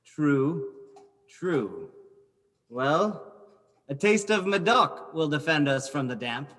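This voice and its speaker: A man's voice: on the high side, sing-song, slow pace, a bit bright, exaggerated voice. A man playing a scheming nobleman who feigns concern for his friend, theatrical and coaxing, in a period stage drama. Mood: smoothly coaxing. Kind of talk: theatrical period drama acting over video call. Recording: home video call recording with music.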